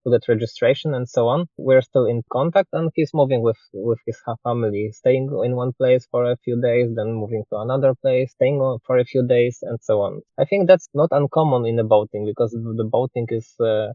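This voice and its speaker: A man in his thirties from Poland with a Polish accent, answering interview questions as a guest sailor in measured, slightly halting non-native English. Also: medium pitch, warm tone, dry recording. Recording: tinny phone-like dry sound.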